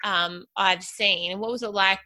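A woman's voice: mid-range voice, steady tone, normal speed, bright, forceful tone. A woman hosting an interview show, reading an upbeat intro and asking questions with enthusiasm. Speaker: podcast host.

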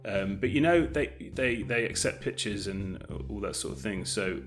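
A man's voice: on the low side, normal speed, faint music behind. A man chatting casually as an interview guest, speaking in a hesitant, self-effacing way with trailing phrases. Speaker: guest, audio drama director and producer.

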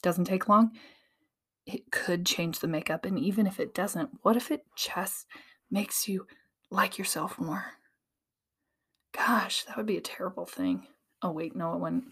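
A young adult woman hosting a casual motivational podcast, hoarse from losing her voice, chatting with listeners in a self-teasing way. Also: lowish voice, lively intonation, unhurried, long pauses, fairly bright tone. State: hoarse, recovering from a lost voice. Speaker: podcast host.

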